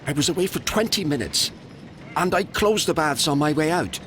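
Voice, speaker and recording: A man playing an anxious, deferential English bathhouse attendant in a Victorian video game, speaking with nervous, halting politeness. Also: on the high side, animated, pushed and bright, slight background noise. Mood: flustered, confessing. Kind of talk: video game cutscene acting.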